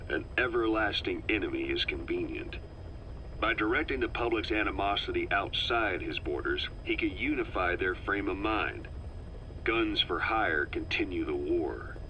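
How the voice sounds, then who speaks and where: A shrewd, calculating male intelligence operative laying out a situation in a video game tape. He talks in a low, measured, earnest way with a sharp, forceful tone. A faint hiss runs underneath. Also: exaggerated voice, rough recording.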